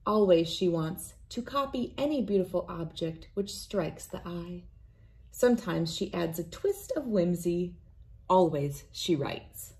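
Slow, soft-toned woman reading a storybook. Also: lowish voice. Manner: deliberate, expressive storytelling with drawn-out words. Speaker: read-aloud host. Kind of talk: reading a children's picture book aloud. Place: indoors, wood-panelled room with large windows.

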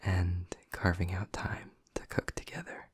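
Male actor as a doting vampire boyfriend: low, breathy, slow whispers, teasing and intimate. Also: completely flat delivery, no room sound, full character voice. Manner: teasing and affectionate. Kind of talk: ASMR audio roleplay.

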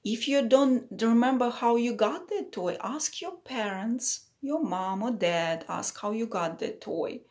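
A woman's voice: on the high side, lively intonation, measured pace, few pauses, a little mellow. A woman in her thirties or forties reading a picture book to children as a teacher, with simple explanatory asides.

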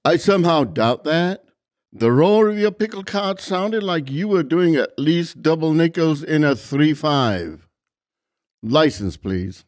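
An actor playing a young, wry highway cop in an audiobook, gravelly and colloquial. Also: male voice, expressive, slow, no room sound, clean studio sound. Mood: wry, probing. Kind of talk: dramatized audiobook dialogue.

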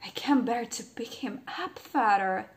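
A woman's voice: high voice, measured pace, fairly bright tone, echoey room. A woman voicing a squeamish, spoiled young princess in a storybook reading, whiny and dramatic. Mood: fretful.

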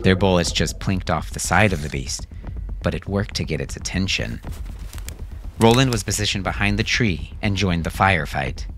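A man's voice: deep voice, expressive, normal speed, a bit bright, slight background noise. A man narrating a scripted fiction story as a dramatic audiobook reader.

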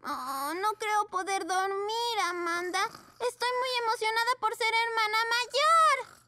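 An actor playing an excitable, sweet little girl pug puppy in a preschool cartoon. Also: very high voice, slow pace. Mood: restless, hopeful. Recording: music behind.

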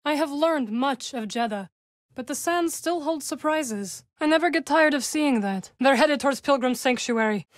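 An actress voicing a composed, dry-witted young witch warrior in a video game, speaking in measured, formal phrases. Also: high-pitched, lively intonation, no room sound. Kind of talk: video game character dialogue.

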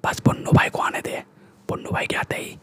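A man's voice: fairly quick, close to an everyday voice. A young man with an Indian accent playing a cocky, threatening street gangster in a whispered ASMR roleplay, speaking bossy street slang close to the microphone. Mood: menacing. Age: young adult. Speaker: ASMR performer. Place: small room indoors. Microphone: close-up large desktop microphone.

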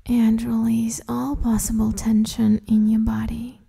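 A woman guiding a meditation in a soft, breathy half-whisper, soothing and gently instructing the listener. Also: on the high side, completely flat delivery, a little slow. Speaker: meditation guide, host. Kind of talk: guided meditation, hypnosis-like.

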